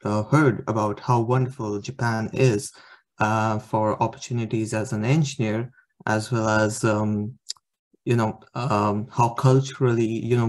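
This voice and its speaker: Brief young male student from India, mid-pitched, halting presenter on a video call. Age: young adult. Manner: hesitant, many fillers. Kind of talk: slide presentation talk. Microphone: webcam on video call.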